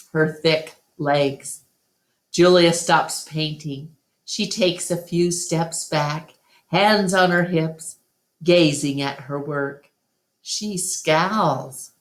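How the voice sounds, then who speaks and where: A woman reading a children's book aloud as a storytime narrator, with deliberate, expressive phrasing. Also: low-pitched. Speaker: storytime reader. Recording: roomy, echoey home recording.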